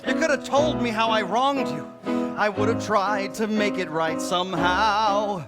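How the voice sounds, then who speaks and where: Man playing a high-voiced swaggering starship captain over music. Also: unhurried. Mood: defiant. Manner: theatrical, swaggering. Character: cocky, righteous male starship captain hero. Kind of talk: stage parody musical acting. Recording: live stage recording, loud music.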